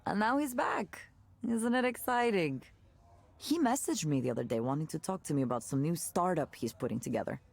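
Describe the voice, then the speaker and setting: Candid woman, low and very expressive. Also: brisk, vocal fry. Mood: sarcastic, dismissive. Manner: conversational, sardonic, expressive with disgusted asides. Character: candid, jaded woman ex-tech worker telling a wry story. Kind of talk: naturalistic visual novel voice acting.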